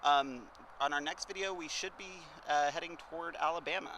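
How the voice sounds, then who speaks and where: A man's voice: on the high side, fairly quick, pushed and bright, poor audio. A man working as host and interviewer, talking casually in a street interview recorded outdoors. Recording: clear outdoor traffic noise.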